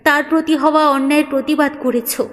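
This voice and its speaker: A woman storyteller voicing a proud, gruff older father-in-law, speaking in a brusque, emphatic way. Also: high-pitched, steady tone, quick pace, long reverb tail, pitched above the performer's own voice, lightly acted. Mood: remorseful.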